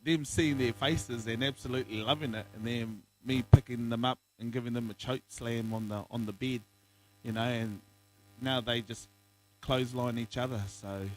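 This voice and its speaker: A man's voice: mid-range voice, fairly even intonation, normal speed, slight background noise, poor audio. A young man, a guest in a casual podcast interview, bantering with slang and laughing often.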